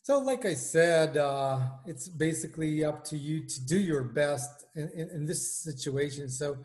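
Hesitant Israeli-born man, slightly high voice. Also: animated, unhurried, darkish tone. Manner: hesitant, many fillers and restarts. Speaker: guest, engineering manager. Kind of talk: casual video-call interview. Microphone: video call.

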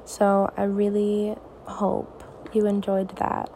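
Raspy, tired teenage girl, soft-spoken. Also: medium pitch, completely flat delivery, slow pace, a little hiss or hum. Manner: soft, reassuring, hesitant. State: tired, up late. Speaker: podcast host. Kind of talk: personal podcast monologue.

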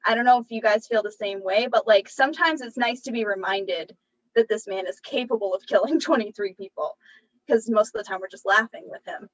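A woman's voice: medium pitch, fairly quick, highs cut off. Chatty young woman, slightly distant. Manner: chatty, laughs often, animated asides. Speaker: podcast co-host. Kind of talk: casual chat recapping a TV episode.

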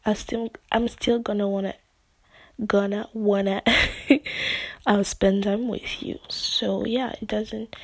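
Non-native English-speaking woman host, slow, breathy and half-whispered, dry close sound, hesitant rambling chat. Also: mid-range voice, no room sound. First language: not English. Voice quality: soft, often near-whispered delivery. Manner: rambling, hesitant, many fillers and restarts. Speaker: podcast host. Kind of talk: casual solo podcast monologue.

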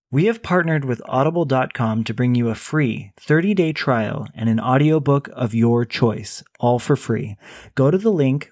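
A man's voice: mid-range voice, darkish tone, dry recording, clean studio sound. A man co-hosting a podcast, talking casually about a book and reading a sponsor plug. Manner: conversational, reads a sponsor plug. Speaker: podcast co-host. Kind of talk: casual book discussion and ad read.

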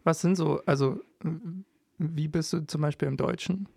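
A woman's voice: deep voice, moderate pace, vocal fry, darkish tone, husky, dry recording, studio quality. A woman hosting a podcast, asking eager, curious follow-up questions in casual chat. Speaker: podcast host.

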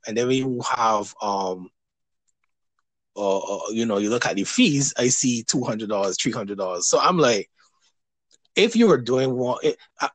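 A man hosting a casual interview, talking hesitantly with many restarts and pauses. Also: medium pitch, no echo. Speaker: podcast host.

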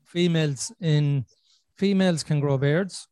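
A man, from Ireland, hosting a podcast and talking in a casual, earnest way, thinking out loud as he goes. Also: a little high, unhurried, clear, resonant tone, no room sound, studio quality. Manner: earnest, thinking aloud with restarts and "you know". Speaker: podcast host. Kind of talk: casual conversational podcast chat.

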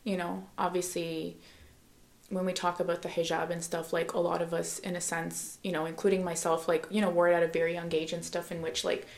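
A young woman hosting a podcast, her voice slightly low and gravelly. She talks loosely, packing her sentences with "like" and "you know." Her voice carries room echo. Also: little pitch movement, brisk. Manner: filler-heavy, "like, you know" throughout. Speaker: podcast host. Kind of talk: casual podcast chat.

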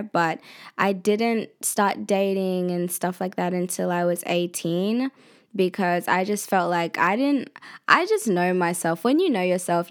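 Chatty twenty-year-old woman, laughing often. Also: medium pitch. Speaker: vlogger and university student. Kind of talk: casual personal vlog talk.

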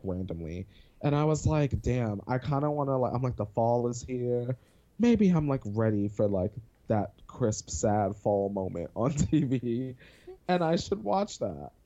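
Lively, slangy podcast co-host, lo-fi sound. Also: mid-range voice. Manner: animated, slangy, swears and laughs often. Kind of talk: casual chat.

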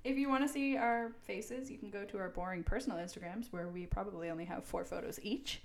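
A woman's voice: medium pitch, quick pace. A young woman co-hosting a casual podcast, laid-back, swearing and laughing often. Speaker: podcast co-host. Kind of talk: casual chat.

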